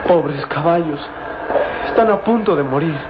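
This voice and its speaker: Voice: mid-range voice, measured pace, lightly acted. A performer playing an anxious, devoted young boy, pleading theatrically in an old radio serial. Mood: anxious, weary. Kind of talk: old Spanish-language radio serial acting. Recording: noisy, dull old radio recording.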